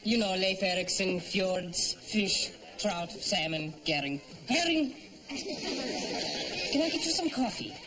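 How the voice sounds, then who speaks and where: An actor playing a bumbling undercover German spy with a German accent in a television sitcom, evasive and jokey. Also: male voice, high voice, animated, takes it slowly, bright, forceful tone, slight background noise, full character voice. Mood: sly, jokey.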